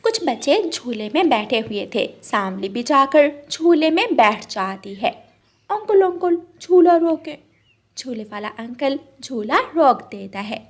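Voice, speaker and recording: A woman's voice: fairly high pitch, expressive, measured pace, few pauses. A woman narrator reading a scripted children's story.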